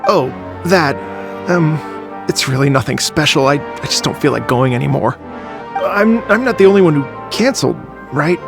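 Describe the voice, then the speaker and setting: A man's voice: on the high side, expressive, normal speed, gravelly, music in the background. A man voicing an easygoing young male researcher in a game, chatty but hesitant and stammering. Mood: evasive. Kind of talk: game voice acting.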